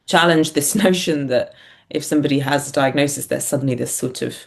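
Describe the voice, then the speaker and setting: A woman's voice: deep voice, a little fast, vocal fry. A woman speaking as an interview guest, reflective and hesitant, thinking aloud as she goes. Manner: hesitant, thinking aloud, punctuated by small laughs. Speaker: guest, writer-performer.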